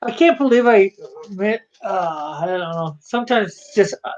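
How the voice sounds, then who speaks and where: A man's voice: sits high, expressive, normal speed. A man from Canada hosting a casual online chat, rambling with plenty of fillers and laughs. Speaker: podcast host. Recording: dull lo-fi online call audio.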